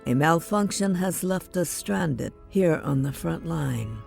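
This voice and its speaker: An older female starship captain in a science fiction audio drama. She is reflective and weary, with an unhurried delivery and fry in her voice. Light music plays underneath. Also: low voice, animated, a little mellow, exaggerated voice. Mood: formal, measured.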